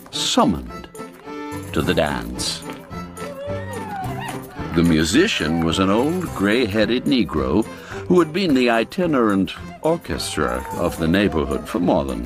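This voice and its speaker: Slow, animated male storyteller. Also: on the low side, no room sound, studio quality. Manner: theatrical, savoring, deliberate phrasing. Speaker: storyteller, audiobook narrator. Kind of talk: expressive literary scripted narration of a classic tale.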